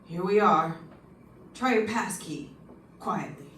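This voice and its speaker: An actor playing a brisk, matter-of-fact police lieutenant in an old-time radio detective drama. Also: medium pitch, lively intonation. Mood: investigating. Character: businesslike police homicide lieutenant. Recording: echoey, distant, noisy room.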